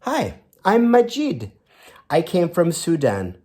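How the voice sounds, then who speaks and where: A man's voice: on the high side, wildly swooping pitch, slow pace, mellow, voice pushed up, exaggerated voice. A man voicing earnest, friendly refugee children introducing themselves in a storybook read-aloud, expressively.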